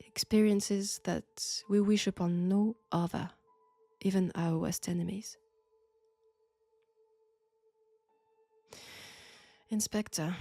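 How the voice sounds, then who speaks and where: A woman with a French accent playing a sinister, flirtatious archaeology professor possessed by an ancient god, deliberate and teasing in an intimate audio roleplay. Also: medium pitch, vocal fry, mellow, no echo. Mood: grave, protective. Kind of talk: slow, intimate roleplay acting.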